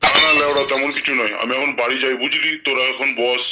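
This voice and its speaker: A man playing a blustering, fast-talking cartoon village uncle. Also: medium pitch, little pitch movement, very noisy, natural voice. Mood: suspicious. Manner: coarse, blustering. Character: gruff, hot-tempered middle-aged village uncle, suspicious husband. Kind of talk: amateur comedy cartoon dubbing.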